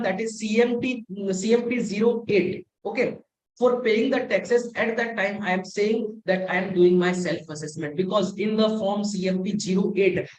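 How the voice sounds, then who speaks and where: Indian-accented male tutor for a live webinar, repetitive and methodical, roomy echo on the recording. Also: high-pitched. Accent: Indian English. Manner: explains step by step with many repetitions, mixing in Hindi. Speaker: webinar instructor. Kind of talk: live online tax lecture. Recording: echoey room sound.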